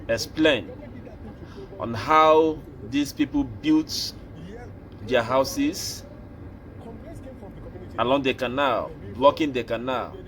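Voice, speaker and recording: A Nigerian man hosting an opinion show, speaking slowly and deliberately, like a preacher. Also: mid-range voice, fairly bright tone, poor audio. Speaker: commentary host. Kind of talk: opinion commentary.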